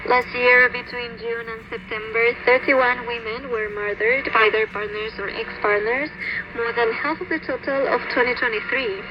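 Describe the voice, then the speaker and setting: A woman's voice: fairly high pitch, steady tone, moderate pace. A woman co-presenting a scripted news bulletin on shortwave radio. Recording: dull, noisy shortwave radio reception.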